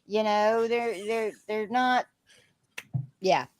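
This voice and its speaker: A woman's voice: medium pitch, steady tone, slow, smooth, no echo. Laughing woman in her sixties. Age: 60s. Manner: candid, laughs often. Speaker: live stream panelist. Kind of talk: casual group video chat.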